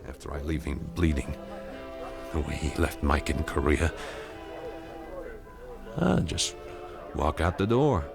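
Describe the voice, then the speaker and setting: Vengeful veteran, very deep gravelly voice, cold and matter-of-fact, radio drama, music underneath. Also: male voice, sing-song, slow pace, long pauses, heavily acted. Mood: scheming coldly. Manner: cold, persuasive, matter-of-fact about killing. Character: vengeful male war veteran plotting a murder. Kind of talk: 1970s radio drama acting.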